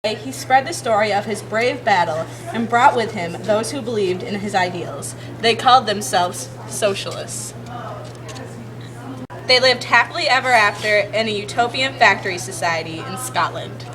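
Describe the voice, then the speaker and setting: A woman's voice: medium pitch, moderate pace, a crowd in the background. A woman narrates a puppet show in a scripted fairy-tale storytelling style, on an amateur recording. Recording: clearly noisy amateur recording.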